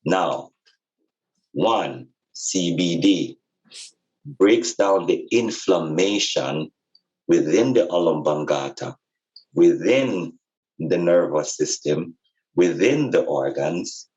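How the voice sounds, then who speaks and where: A man with a very low voice speaks slowly and emphatically, like a teacher in an informal talk. He repeats phrases to stress his points. The recording is a little dull and lo-fi. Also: expressive, a little mellow. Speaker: podcast speaker and spiritual teacher. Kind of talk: informal explanatory talk on spirituality and healing.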